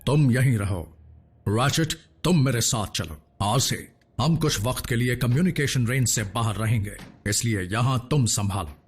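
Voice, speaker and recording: An actor playing a calm, authoritative heroic robot leader in a cartoon dub, measured and commanding. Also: male voice, medium pitch, husky, soft background music, close to an everyday voice. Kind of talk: dubbed cartoon hero acting.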